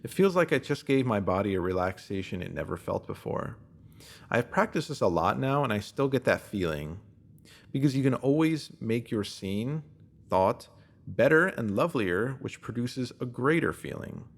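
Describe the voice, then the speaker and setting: A man reading a scripted self-help spiritual piece as narrator, in a measured, instructive way that addresses the listener directly. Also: mid-range voice, very animated, gravelly.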